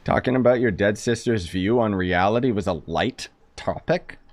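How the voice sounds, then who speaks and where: A man's voice: on the low side, moderate pace. Man, gaming streamer, sarcastic, jokey, impatient, casual commentary. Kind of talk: casual commentary over a game.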